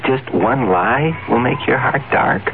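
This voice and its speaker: Woman with really deep voice asking questions in old radio spot, animated, thin sound. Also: a little slow, creaky, narrow, tinny audio. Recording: thin, band-limited radio broadcast.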